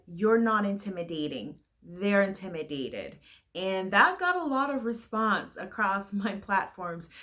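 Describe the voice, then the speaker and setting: A young adult woman giving frank, earnest advice to camera in a heavy, loud voice that carries. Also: mid-range voice. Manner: frank and earnest, with fillers and restarts. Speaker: vlogger giving career advice.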